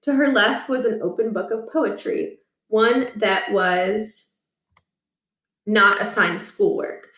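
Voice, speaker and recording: A woman reading a children's storybook aloud with expressive, deliberate delivery in a roomy space. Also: medium pitch, recorded from a distance. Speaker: read-aloud reader. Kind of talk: scripted storybook narration. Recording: roomy, echoing room.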